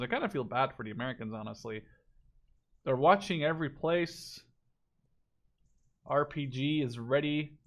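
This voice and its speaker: A man's voice: medium pitch, gravelly. A man giving casual live commentary on a video game match, thinking aloud as he watches.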